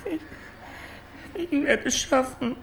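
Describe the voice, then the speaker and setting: Radio drama: sleepless, pleading patient, high-pitched, halting and tearful, extremely slow delivery. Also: female voice, creaky, full character voice. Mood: tearful, despairing. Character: suffering, sleepless hospital patient pleading for relief.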